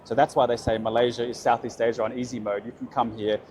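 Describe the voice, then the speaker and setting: Young Australian man, expat guest, brisk and chatty in a relaxed interview. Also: medium pitch, slight background noise. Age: young adult. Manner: upbeat, quick affirmations, frequent fillers. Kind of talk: casual interview chat.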